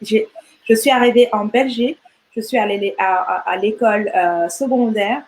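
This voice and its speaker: Animated woman from Rwanda, chatty. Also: mid-range voice, moderate pace, low-quality recording. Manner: animated, repeats words and restarts often. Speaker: guest entrepreneur. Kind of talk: casual interview chat.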